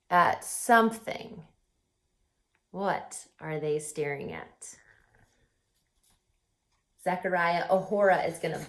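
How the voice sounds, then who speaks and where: A woman teacher reading a picture book aloud, expressive and asking the listener questions. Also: fairly high pitch. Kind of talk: children's read-aloud. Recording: roomy echo.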